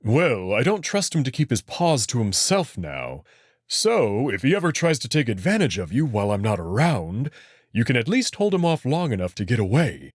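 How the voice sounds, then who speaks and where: A man's voice: mid-range voice, sing-song, normal speed, husky, dry recording, studio quality. A man voicing a confident, teasing and protective alien wolf noble in a visual novel, speaking in an assured, slightly formal way. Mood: coaxing. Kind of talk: visual novel character acting. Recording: music behind the voice.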